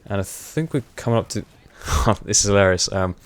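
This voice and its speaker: A young man with a fairly low voice talks over his gameplay in a loose, rambling way. He pauses on fillers and laughs often, and his voice is wearing hoarse. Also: dry recording. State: voice going hoarse. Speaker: gaming commentator. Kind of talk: casual gameplay commentary.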